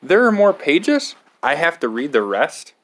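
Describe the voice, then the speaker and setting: A man's voice: on the high side, big pitch swings, measured pace, fairly bright tone. A man reading a picture book aloud to children as a storytime host, with a theatrical, exaggerated delivery.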